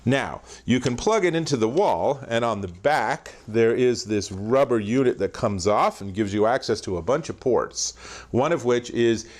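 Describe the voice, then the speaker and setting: A man's voice: mid-range voice, expressive, normal speed. A man explaining a product's features and their usefulness in a review.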